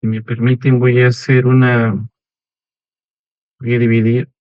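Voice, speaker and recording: A man in his forties from Lima with a Peruvian accent and some Portuguese-tinged wording. He is a professor giving an unhurried, conversational webinar talk, tagging his sentences with "¿no?" and "¿eh?". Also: mid-range voice, flat delivery, no echo. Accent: Peruvian Spanish with Portuguese-tinged wording. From Peru, Lima. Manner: unhurried and conversational, with frequent "¿no?" and "¿eh?" tags. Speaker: professor, guest speaker. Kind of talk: webinar presentation. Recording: slightly muffled online call audio.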